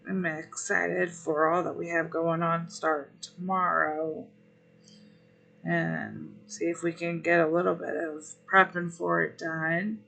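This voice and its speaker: A man hosting a relaxed livestream, greeting viewers one by one while his voice is going hoarse and rough. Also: a little high, soft background music. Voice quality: rough, gravelly. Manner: unhurried, friendly greetings between pauses. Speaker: livestream host. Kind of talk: relaxed gaming stream chat, greeting viewers.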